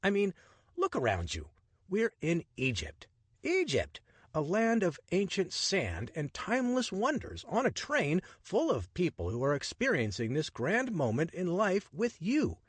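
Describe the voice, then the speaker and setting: A man's voice: medium pitch, very animated, normal speed, dry recording, voice pushed up. A man voicing a bold, chatty young adventurer with a breezy, persuasive manner. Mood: enthusiastic.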